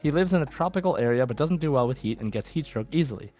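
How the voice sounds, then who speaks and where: A man's voice: medium pitch, expressive, fast talker, darkish tone, faint music behind. A man hosting a podcast in casual chat, reading out listener messages with informal, goofy asides. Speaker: podcast host.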